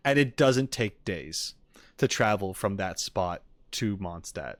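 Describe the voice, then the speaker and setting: A man's voice: fairly low pitch, animated, slow, no echo. A man doing casual livestream commentary as he reacts to a game, opinionated and emphatic, in an argumentative way. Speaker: streamer reacting to game voice lines.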